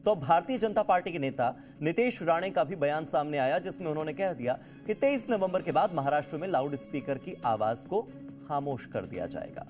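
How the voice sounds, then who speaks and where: A man's voice: on the high side, expressive, brisk, darkish tone, faint music behind. A man presenting a scripted news introduction.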